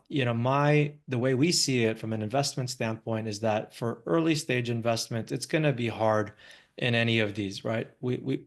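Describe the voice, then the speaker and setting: American man presenting informally to an audience. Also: lowish voice. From Los Angeles, United States. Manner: conversational, frequently checks in with "right?". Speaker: venture capital investor giving a presentation. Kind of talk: informal live startup presentation.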